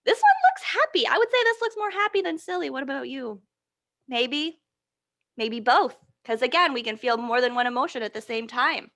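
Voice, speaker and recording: A woman leads a picture-book storytime for small children in a fairly high, very bright voice. She is animated and encouraging. She keeps posing simple questions to her young listeners and answers many of them herself. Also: normal speed.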